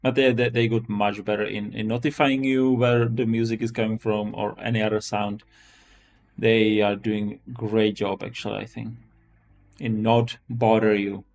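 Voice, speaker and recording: A man's voice: lowish voice, flat delivery, moderate pace, creaky, a little mellow. A man with a Czech accent chatting casually as a live-stream host, often restarting and repeating his phrases. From Czech Republic.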